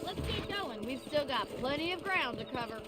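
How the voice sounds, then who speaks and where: A woman's voice: high voice, expressive, extremely slow, exaggerated voice. An actress voicing a frank, teasing young ranch woman in a video game, with a dry, plainspoken manner. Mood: fired up. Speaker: game character. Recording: game audio under loud soundtrack music. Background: loud game music, hoofbeats.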